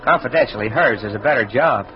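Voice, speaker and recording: A man playing a flustered, kindly, lovestruck department store manager in a dreamy, halting, eager 1940s radio style. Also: mid-range voice, expressive, lightly acted. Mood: jovial. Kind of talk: 1940s radio comedy-drama acting. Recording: muffled, noisy vintage radio recording.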